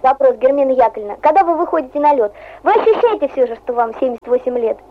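A woman journalist interviewing a guest on the radio and asking questions in a brisk, polite way. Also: high-pitched, lively intonation. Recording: dull old radio recording.

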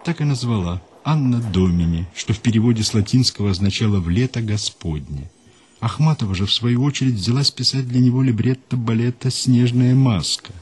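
A man hosting a radio program, reading a scripted story in a measured, unhurried way and dropping lower and quieter for quotations. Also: breathy edge. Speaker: radio host and narrator.

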